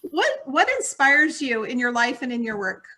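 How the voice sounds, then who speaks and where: A woman hosting an interview, upbeat and quick with "oh" and "very cool" reactions, on a video call. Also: on the high side, lively intonation, sharp tone. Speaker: podcast host. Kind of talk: casual interview chat.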